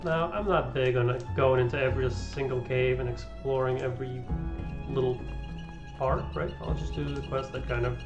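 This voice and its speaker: A man's voice: mid-range voice, steady tone, normal speed, highs cut off. A man giving casual playthrough commentary on a video game, thinking aloud as he plays. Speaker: gaming video creator. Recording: roomy echo under loud game music.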